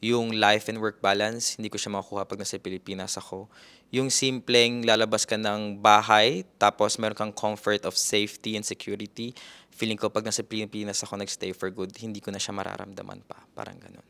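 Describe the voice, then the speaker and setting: A young Filipino man with a low, smooth, clear voice, answering an interviewer casually. He has a Filipino accent and slides between Tagalog and English, pausing on fillers and restarting his sentences. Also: level tone, bright, forceful tone. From Philippines. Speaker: guest, registered nurse.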